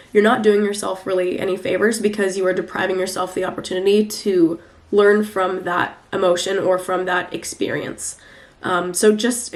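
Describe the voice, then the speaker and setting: A young woman with a stuffy, nasal voice from a recent flu. She talks through a tarot reading in a loose, chatty way and trails off into fillers. Her pitch sits in a middling range. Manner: chatty, rambling, many fillers. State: congested from the flu. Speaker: tarot reader. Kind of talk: casual weekly tarot reading.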